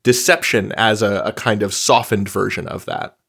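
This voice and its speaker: A man's voice: fairly low pitch, steady tone, normal speed, gravelly, fairly bright tone, slightly rough. A man hosting a casual interview, speaking conversationally as he questions and responds to his guest. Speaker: host, interviewer.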